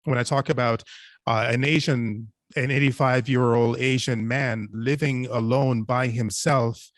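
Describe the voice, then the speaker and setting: Male panelist, mid-range voice, halting and earnest, reflective discussion, very dry sound. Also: mid-range voice, steady tone, no echo. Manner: halting, repeats and restarts phrases. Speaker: podcast writer and panelist.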